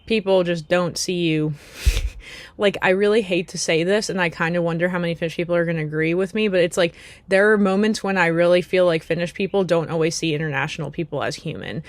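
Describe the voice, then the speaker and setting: A woman's voice: lowish voice, no echo. Reflective American woman, dry close sound. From United States. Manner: thoughtful, many fillers and restarts. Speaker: vlogger. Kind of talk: casual reflective monologue.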